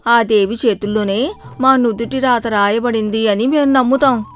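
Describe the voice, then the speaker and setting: A woman's voice: on the high side, fairly even intonation, moderate pace, a bit bright, close to an everyday voice. A woman playing a humble, devout, fatalistic villager in a moral story. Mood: humble resignation. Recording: music bed under the voice.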